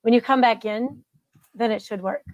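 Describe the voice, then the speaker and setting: A woman's voice: fairly high pitch, moderate pace, no echo. A woman hosting a live online show over a video call, upbeat and encouraging. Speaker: host.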